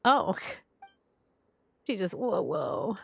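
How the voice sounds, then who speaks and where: A woman's voice: mid-range voice, very animated, drawn-out words, creaky, breathy edge. A woman game streamer giving casual live commentary on a playthrough.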